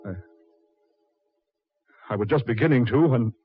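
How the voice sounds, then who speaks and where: An actor playing a guilty, defensive dead millionaire, husky and agitated, in 1940s radio horror style on a muffled old recording. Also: male voice, mid-range voice, a little slow. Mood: uneasy. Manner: agitated, pleading, protesting.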